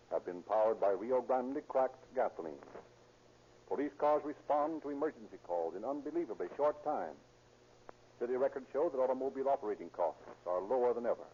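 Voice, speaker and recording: A man with a mid-pitched voice announces a sponsor message in 1930s radio style. He is formal and carefully phrased, on a muffled vintage recording with faint noise. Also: dark tone. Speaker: announcer and narrator.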